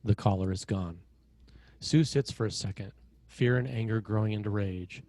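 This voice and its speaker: A man narrating screenplay action and scene headings in a measured, dramatic way, in short phrases. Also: fairly low pitch, mellow, no echo.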